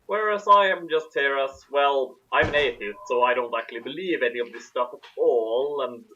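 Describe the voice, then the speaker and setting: A man's voice: mid-range voice, normal speed, sharp tone, a little hiss or hum. A man giving casual commentary as co-host of a reading, on a lo-fi recording. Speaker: co-host and reader.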